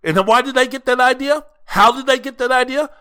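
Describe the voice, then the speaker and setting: A man's voice: very high-pitched, a little fast, pushed and bright, clear, resonant tone. A man hosting a sports podcast solo, giving opinionated commentary in an emphatic, theatrical way, with rhetorical questions and repeated phrases.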